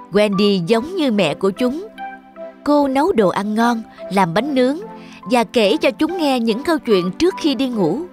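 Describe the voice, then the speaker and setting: A woman narrating a scripted fairy tale for children. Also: fairly high pitch. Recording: music under the voice. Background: music.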